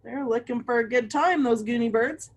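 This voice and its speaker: A woman's voice: fairly high pitch, normal speed, muffled recording. A woman reading a picture book aloud to children, with chatty asides and questions to her young listeners. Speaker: read-aloud storyteller for children.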